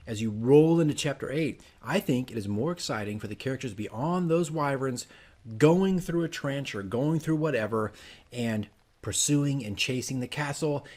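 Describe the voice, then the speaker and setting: A man's voice: mid-range voice, a little mellow. A man talking casually as a dungeon master, working from notes and often checking in with "right?". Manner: chatty, self-correcting, often tags sentences with "right?". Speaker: dungeon master giving game tips.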